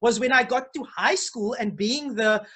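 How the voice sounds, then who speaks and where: Husky man, deliberate. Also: very high-pitched, bright, forceful tone. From South Africa. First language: Afrikaans as mother tongue, English first language. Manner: deliberate, stacks up repeated words before points. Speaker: guest. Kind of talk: casual conversation. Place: small indoor room. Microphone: video call.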